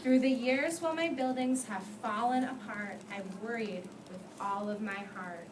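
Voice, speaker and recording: A woman with a high voice reads to a class of young children. She speaks as a teacher, instructing, praising and putting questions to them. The sound is echoey and distant, with clear noise in the room. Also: normal speed. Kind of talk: reading aloud to a class, asking questions.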